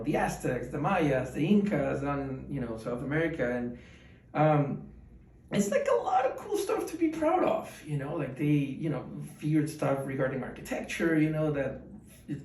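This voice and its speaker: A man's voice: mid-range voice, vocal fry, darkish tone. A man in his twenties from Ecuador, answering interview questions in a rambling, casual way with many fillers and restarts. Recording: echoey room, mic at a distance.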